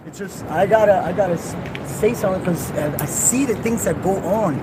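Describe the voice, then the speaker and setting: Earnest man from Puerto Rico, noisy street. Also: high-pitched. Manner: earnest, halting, profane. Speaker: passerby at a protest. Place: outdoor city street.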